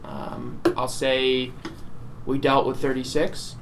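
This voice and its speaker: A man leads a staff meeting, working through agenda items in a flat, businesslike tone. A faint background hiss sits under the room. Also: mid-range voice, takes it slowly, vocal fry. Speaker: campaign staffer.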